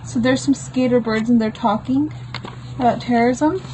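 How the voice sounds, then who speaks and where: A woman's voice: on the high side, moderate pace, warm tone, a little hiss or hum, poor audio. A woman reading her own homemade comic aloud in a plain, unpolished way, with informal explanatory asides. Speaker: comic book author reading own work.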